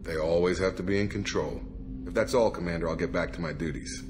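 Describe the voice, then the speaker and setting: An actor playing a loyal, no-nonsense soldier in a video game, plainspoken and clipped, with music behind him. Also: male voice, low voice, quick pace, background noise, close to an everyday voice. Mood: easygoing, frank. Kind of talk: video game dialogue acting. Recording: prominent background music under the voice.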